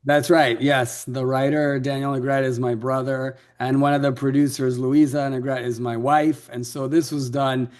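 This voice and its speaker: A man's voice: mid-range voice, level tone, moderate pace, few pauses. A man from Latin America, raised in Ecuador, answering interview questions as a film director in a fluent, storytelling way.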